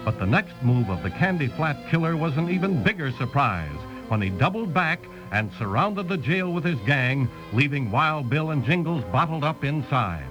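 A man's voice: fairly high pitch, sing-song, moderate pace, hardly any pauses, low-quality recording. A man narrating an old-time radio western as its announcer, with a dramatic scripted delivery. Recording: old radio recording with music.

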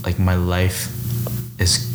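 A man's voice: deep voice, completely flat delivery, slow, music in the background. A young man from Taiwan whose first language is Mandarin, talking casually and reflectively as a photographer guest, with lots of "like". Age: young adult. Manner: reflective, fills speech with "like". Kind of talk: casual podcast conversation. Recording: noisy room ambience.

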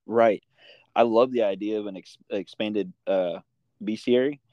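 A man with a fairly low voice hosts a casual interview in a very dry acoustic. He talks informally and haltingly, thinking aloud as he goes. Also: dry recording, lo-fi sound. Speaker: interviewer and host.

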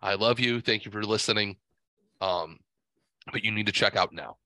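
A sick man with a blocked nose hosts a casual podcast, cursing freely and wandering from point to point. His voice is bright and pushed forward. The recording is dry and close, with no room sound. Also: lowish voice, normal speed, no room sound. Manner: crude, profane, rambling. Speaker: podcast host.

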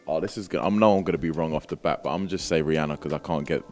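A man's voice: extremely low pitch, very fast, darkish tone, soft background music. A British man chatting casually as an interview guest, with a British accent and a laid-back, slangy manner. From Britain. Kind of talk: casual chat.

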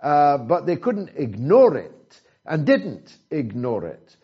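British man hosting, slow deliberate delivery, rhetorical questions, lo-fi muffled sound. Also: on the high side, very animated, breathy edge. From Britain. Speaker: interviewer, host. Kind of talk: interview. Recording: dull lo-fi sound.

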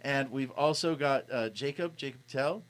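A man's voice: mid-range voice, normal speed, a bit bright. A man from Miami hosting a live radio talk show in a casual, jokey way. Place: radio studio.

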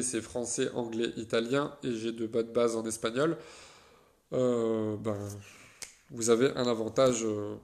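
A man's voice: mid-range voice, a little mellow. A young man from France talking through his solo podcast, loose and chatty, full of hesitations and restarts. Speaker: podcast host.

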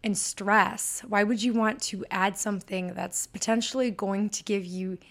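A woman with a Michigan accent hosts her own podcast in a chatty, bubbly way. Her voice sits at a medium pitch, and her delivery stays upbeat and personal. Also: fairly even intonation, a little slow. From Michigan, USA. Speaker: podcast host. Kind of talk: solo podcast monologue.

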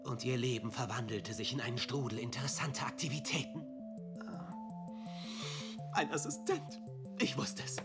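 A woman's voice: very deep voice, normal speed, pauses often, over loud music. A woman playing an eccentric, brazen male detective in an audio drama, theatrical, rambling and self-assured. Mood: excitedly probing. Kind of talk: German audio drama acting.

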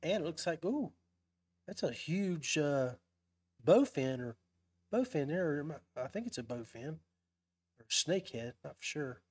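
A man's voice: on the high side, big pitch swings, measured pace, pauses often, a little mellow, breathy edge. A man hosting a casual live-stream podcast in a folksy, chummy way. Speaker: podcast host.

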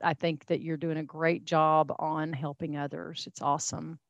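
A woman's voice: low-pitched, little pitch movement, normal speed, gravelly, dry recording, muffled recording. A middle-aged woman from Texas with a Texan accent, telling her life story as a podcast guest in a chatty, folksy way with many fillers and restarts. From Texas, USA. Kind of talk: casual interview storytelling.

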